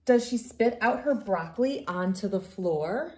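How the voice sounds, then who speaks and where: Woman doing a kids picture book read-aloud, takes it slow, expressive storyteller, mid-range voice. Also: mid-range voice. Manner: expressive storytelling for young children. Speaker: children's book reader and host. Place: living room at home, indoors.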